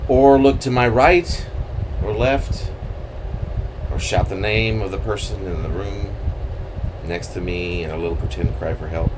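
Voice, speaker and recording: A man reading his own poem aloud in a theatrical, halting manner with swooping emphasis. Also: on the low side, background noise. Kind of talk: dramatic poetry reading.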